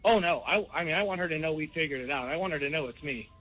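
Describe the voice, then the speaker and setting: A male radio caller with a slightly high, bright and forceful voice. He talks in plain conversational phrases, telling and pressing his own case. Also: racing, faint music behind, low-quality recording. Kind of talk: recounting and confronting in a phone call.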